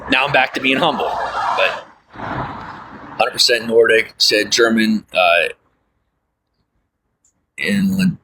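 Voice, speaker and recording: A man's voice: on the low side, slow, long pauses, bright, forceful tone, slight background noise, rough recording. A man livestreaming, chatting casually with viewers and reading their comments, rambling with lots of fillers and folksy asides. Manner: folksy, often says "I'll tell you what".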